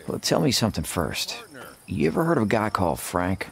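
Deep-voiced laconic gunslinger. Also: male voice, very animated, a little fast, gravelly, full character voice. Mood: wry. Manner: laconic, wry, unruffled. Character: cool, wry, rugged male gunslinger in the Old West. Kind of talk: video game cutscene acting. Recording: music under the dialogue.

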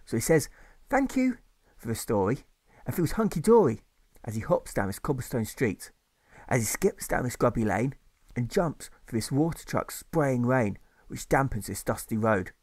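A man's voice: mid-range voice, lively intonation, moderate pace, a little mellow, dry recording. A man narrating his own rhyming novel as an audiobook in a rhythmic, sing-song reading.

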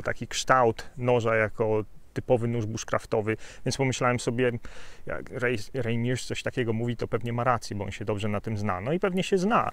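A man in his thirties from Poland, reviewing a bushcraft knife in a relaxed, chatty way with fillers and asides, recorded outdoors on a hillside with a lapel mic. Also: mid-range voice, no echo. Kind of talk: casual outdoor gear review.

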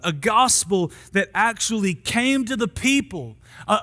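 An American man preaches from the stage of a large church auditorium. His voice sits high for a man, and he drives his points home with deliberate, emphatic phrasing. A long hall reverb trails his words. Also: fairly bright tone. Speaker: pastor. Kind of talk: sermon.

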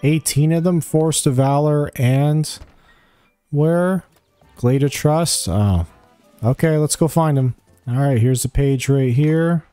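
Mellow-voiced man doing game commentary. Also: fairly high pitch, slow, clean tone, dry recording, faint music behind. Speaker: gamer giving a quest walkthrough. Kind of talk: casual gameplay commentary.

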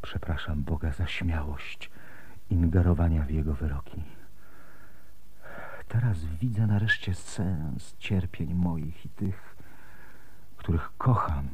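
Earnest, low-voiced grieving man. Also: slow, pauses often, slight background noise. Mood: solemn, moved. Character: earnest, pious middle-aged man, determined and grieving. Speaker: actor in radio play. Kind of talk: radio drama acting.

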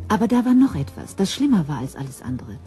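A woman's voice: medium pitch, very animated, fast, warm tone, soft background music. A woman narrating a scripted crime story in a composed storytelling manner.

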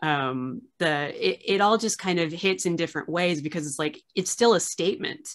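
A woman's voice: fairly low pitch, moderate pace. A woman in her thirties hosting a video-call interview, gushing with enthusiasm and filling gaps with ums and likes. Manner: enthusiastic, gushing fan, many fillers. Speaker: host, archivist. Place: small room at home, video call.

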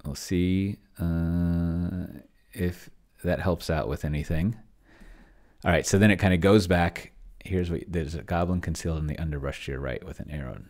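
A middle-aged man thinking aloud casually at his desk, halting and murmuring as he types and reads, close to the microphone. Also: deep voice, fairly even intonation, a little mellow. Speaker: host of a solo roleplaying experiment stream. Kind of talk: casual thinking aloud while typing and reading from screen. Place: indoor home room. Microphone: desk microphone close to the mouth.

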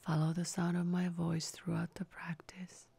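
A woman leading a scripted guided relaxation meditation in a soft, breathy, near-whispered voice, giving calm, soothing, measured instructions. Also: fairly low pitch. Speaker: yoga nidra meditation guide.

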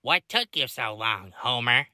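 A man's voice: mid-range voice, expressive, unhurried, sharp tone, no echo, studio quality. A man voicing comic cartoon townsmen in a fan-made voice performance. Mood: gruff. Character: cartoon townsmen: chirpy neighbor, gruff bartender, police dad.

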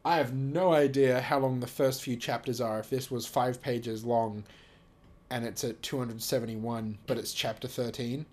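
A man's voice: mid-range voice, steady tone, normal speed, darkish tone. A man casually reading aloud and reacting as host, amused, sweary and mock-serious.

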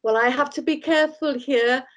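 A middle-aged woman hosting an interview over a video call, chatty and quick to laugh. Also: high voice. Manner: lively, chatty, laughs easily. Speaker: host. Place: home room with bookshelves.

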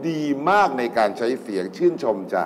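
A man's voice: mid-range voice, very animated, fairly quick, noisy background. A middle-aged man giving spoken feedback to a contestant as a talent show judge. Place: TV studio stage set.

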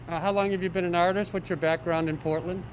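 A man hosts a casual street interview outdoors, asking loose questions. His voice is on the high side. The recording is dull, with traffic noise behind it. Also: steady tone, quick pace. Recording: dull, noisy street audio.

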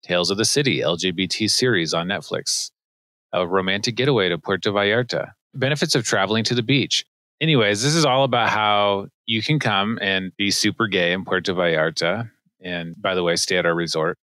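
A man's voice: low voice, a little fast, bright, forceful tone, no echo. A man hosting a podcast as an immigration attorney, chatting casually, joking and laughing often.

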